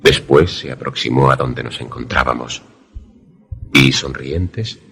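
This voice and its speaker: A man's voice: very deep voice, measured pace, gravelly, a bit bright, slightly rough, soft background music, full character voice. A man playing a grave, questioning protagonist who narrates a suspense radio drama in formal, literary language. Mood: awed, narrating. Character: grave, questioning male narrator-protagonist caught in eerie events.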